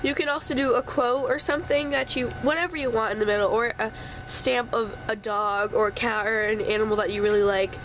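A woman's voice: on the high side, steady tone, fairly quick, a bit bright. A woman with a congested, stuffy nose chatting casually through a home craft tutorial, with apologetic asides as she works. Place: home craft table, indoors. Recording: faint sump pump hum behind.

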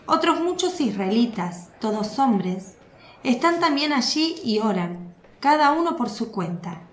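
A woman's voice: on the high side, very animated, unhurried, roomy sound. Woman narrating a radio drama.

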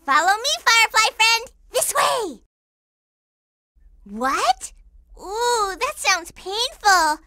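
A woman voicing a cute, sweet-natured little girl in a cartoon video game, squeaky and childlike, with a singsong, gushing manner. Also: big pitch swings, slow pace, long pauses, bright, forceful tone, dry recording, clean studio sound, exaggerated voice. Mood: gentle, earnest.

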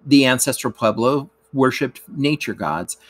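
A man reading a scripted educational narration aloud like a teacher, in a measured, explanatory way. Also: mid-range voice, lively intonation.

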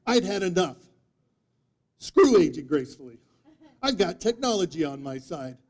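A man in his fifties giving a humorous storytelling speech, with theatrical deadpan delivery and dramatic pauses. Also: high-pitched. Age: 50s. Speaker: speech contest speaker. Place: meeting room before a live audience, indoors. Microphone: distant audience camera microphone.

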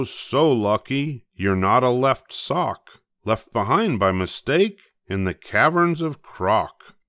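An older man reading a rhyming children's storybook aloud, expressively, with playful emphasis and drawn-out words. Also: medium pitch, fairly bright tone, dry recording, studio quality. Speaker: storybook reader.

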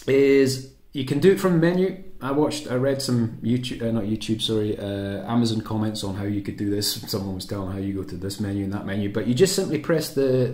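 British male how-to host, lowish pitch, informal and unscripted, echoey home-room sound. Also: a little mellow. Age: 30s to 40s. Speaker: host of a how-to sailing channel. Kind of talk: casual unscripted tutorial. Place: small home kitchen or room, indoors. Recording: roomy, echoey indoor sound.

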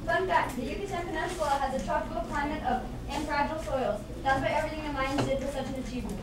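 A woman's voice: high-pitched, fast, close to an everyday voice. A woman voicing an earnest, nerdy young man in a scripted puppet comedy, eager and pedantic. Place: indoor room, behind puppet stage. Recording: echoey, noisy room from far off.

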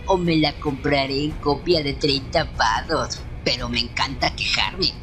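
A man plays an indignant Mexican film fan in a video review. The character is a whining cheapskate who loves to complain. There is soft music in the background. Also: mid-range voice, unhurried. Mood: whiny complaining.

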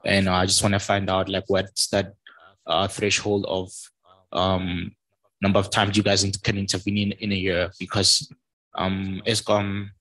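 A man's voice: low-pitched, monotone, normal speed, a bit bright, no echo, low-quality recording. A man asking questions from the audience, hesitant with many ums.

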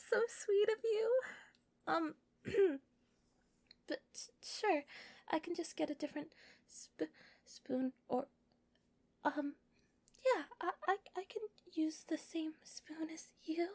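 A woman playing a shy, doting, possessive yandere captor in an intimate roleplay audio, softly and breathily. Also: very high voice, slow, pauses often, no echo, exaggerated voice. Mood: shy, flustered. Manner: soft, breathy, often whispering, giggly, stammering when flustered.

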